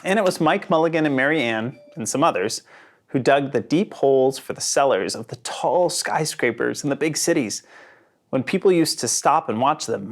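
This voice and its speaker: Expressive man reading a storybook, very animated pitch. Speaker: storybook reader. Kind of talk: read-aloud of a children's picture book.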